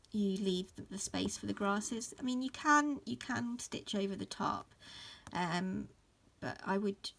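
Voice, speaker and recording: A woman in her thirties with a croaky voice from hay fever, casually talking through an embroidery tutorial at home, full of ums and restarts. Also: mid-range voice, a little hiss or hum. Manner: relaxed, many fillers and restarts. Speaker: embroidery teacher. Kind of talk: casual stitch-along tutorial. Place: upstairs room at home.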